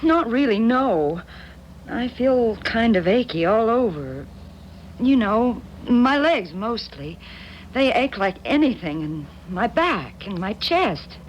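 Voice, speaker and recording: A woman's voice: on the high side, very animated, takes it slowly. An actress playing a fretful, polite married woman in a 1970s radio drama, hesitant and apologetic. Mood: unwell, apologetic. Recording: dull, noisy 1970s radio broadcast.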